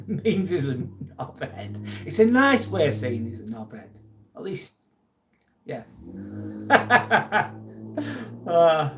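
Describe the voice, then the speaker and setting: Chatty English man, a bit high. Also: big pitch swings, drawn-out words, pauses often, background noise. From England. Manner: chatty and jokey, mildly irritated by a troll. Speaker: live stream host. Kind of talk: casual live chat reading out comments.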